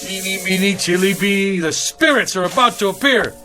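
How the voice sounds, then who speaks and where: Man playing brash, slangy, high-pitched hack writer. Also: normal speed, music in the background, close to an everyday voice. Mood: boastful. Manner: streetwise, ungrammatical, boastful. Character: brash, slangy, excitable streetcar conductor turned hack writer. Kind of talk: comedic radio drama acting.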